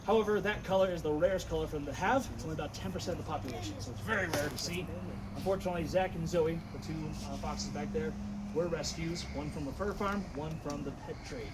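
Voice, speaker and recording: A man acting as a wildlife tour guide, talking in a loud, projecting, informative way to visitors outdoors, recorded on a visitor's camera. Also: fairly high pitch, fast. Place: outdoors at animal enclosures. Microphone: visitor's camera from among the tour group. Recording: outdoor ambient noise.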